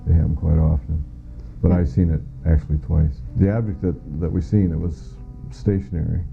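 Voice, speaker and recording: Very low-voiced American man, gravelly. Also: flat delivery, moderate pace, soft background music. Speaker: former air traffic controller giving witness testimony. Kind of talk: recounting first-hand testimony in an interview. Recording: muffled lo-fi audio.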